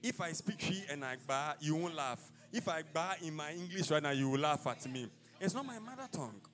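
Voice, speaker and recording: A man's voice: fairly high pitch, animated, fairly quick, fairly bright tone, faint music behind. A man with a Ghanaian accent, an apostle preaching a sermon in a church service, declaiming in rising bursts and dropping into casual asides.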